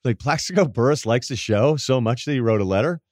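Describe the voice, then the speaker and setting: A man with a deep voice hosting a podcast, telling rambling stories in casual chat and laughing at his own anecdotes. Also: expressive, a little fast, a little mellow, no echo, clean studio sound. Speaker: podcast host.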